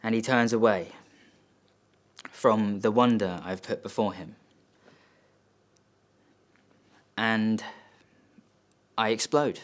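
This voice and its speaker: A male actor plays a wealthy son, wounded and bitter, in a dramatic stage monologue. His voice sits on the low side. He tells his story in short, emphatic phrases with halting breaks between them. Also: fairly even intonation. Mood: wounded, indignant. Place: studio.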